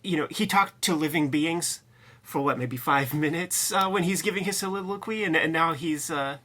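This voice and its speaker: A man's voice: fairly high pitch, normal speed, sharp tone. A man co-hosting a discussion show, giving colloquial, off-the-cuff commentary and often searching for his words. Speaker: co-host of a comic book discussion show. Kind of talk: casual chat and commentary.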